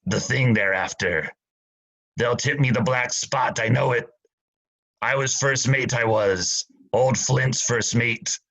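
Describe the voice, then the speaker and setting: A man plays an old sea captain gone to drink, rough and fearful. He talks in salty sailor dialect at a middling pitch, swinging between pleading and bluster. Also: slow pace, fairly bright tone, rough recording. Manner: gruff seafaring dialect, pleading. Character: old drunken male pirate captain, frightened. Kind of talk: student radio play acting.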